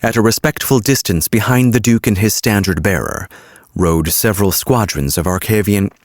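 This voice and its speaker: A man's voice: lowish voice, normal speed, no room sound, clean studio sound. A man reading scripted audiobook narration and repeating lines in retakes.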